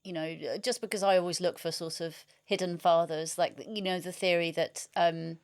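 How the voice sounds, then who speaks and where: A woman with a clear, resonant voice pitched a little low. She is an interviewer in a conversational book discussion, putting forward her thoughts in a musing, tentative way. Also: fairly even intonation, normal speed.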